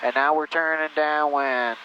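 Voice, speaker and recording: Higher-voiced male flight instructor on muffled intercom. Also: measured pace. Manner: encouraging, patient, repeats instructions. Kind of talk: step-by-step in-flight coaching. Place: small airplane cockpit in flight. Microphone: aviation headset. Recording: muffled intercom audio, steady engine noise.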